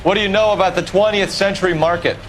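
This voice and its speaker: A man acting a stern, driven police detective questioning suspects in a video game drama, forceful and accusatory. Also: sits high, fairly even intonation, quick pace, slight background noise, low-quality recording. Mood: stern, pressing.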